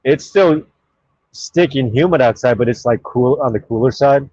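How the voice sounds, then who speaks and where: A man hosts his own live stream and chats casually, reading viewer comments aloud and wandering between topics with many fillers. His voice sits at a middling pitch. The audio is dull and lo-fi, with no room echo. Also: normal speed, darkish tone, breathy edge. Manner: rambling and offhand, with many fillers.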